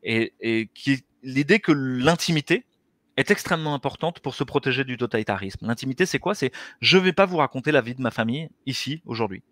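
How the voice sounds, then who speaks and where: A middle-aged man speaks earnestly as an interview guest, working through his ideas step by step. His voice sits at an ordinary middle pitch. It is picked up by a headset mic on a video call, with a dry close sound. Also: animated, a little fast, a bit bright, no echo. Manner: earnest, gestures with his hands while explaining. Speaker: interview guest, anesthesiologist and author. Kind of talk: explanatory conversational interview talk. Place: small indoor room.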